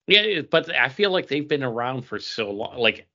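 A man with a bright, forward voice at a middle pitch co-hosts a casual podcast. He muses aloud in an easy conversational style. The recording is a little dull and band-limited. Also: sing-song, normal speed. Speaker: podcast co-host. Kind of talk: casual group discussion about comics.

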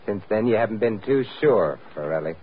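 A man's voice: fairly low pitch, expressive, fairly quick, breathy edge. A man playing a suave, wry, confident adventurer-sleuth in 1940s radio style. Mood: cool, taunting. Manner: urbane, wry. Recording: tinny, noisy old radio recording.

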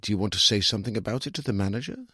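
A man's voice: lowish voice, racing, sharp tone, slightly rough, no echo, studio quality. A man voicing a polite, defensive, half-hearted waiter in an audiobook short story.

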